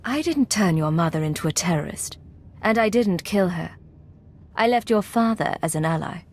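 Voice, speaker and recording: A stern, commanding secret agent. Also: female voice, on the low side, animated, moderate pace. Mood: defensive. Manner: firm, authoritative. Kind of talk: video game voice acting.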